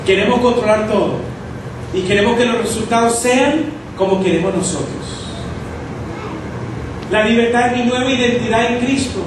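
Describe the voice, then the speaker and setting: A man's voice: extremely high pitch, normal speed. A man in preaching a sermon to a congregation, teaching through rhetorical questions. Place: church hall with congregation. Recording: echoey hall, distant mic, noisy.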